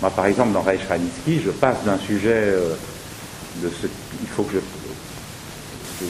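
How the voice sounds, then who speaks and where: A man's voice: deep voice, moderate pace. A man, an actor, talking through his theatre work with many restarts and hesitations. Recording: hissy recording.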